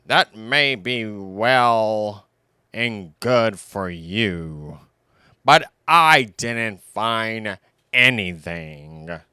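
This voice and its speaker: Grumpy male owl for a children's tale, read by a man; slow, smooth, ponderous grumble. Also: on the low side, animated, pushed and bright, dry recording, studio quality, exaggerated voice.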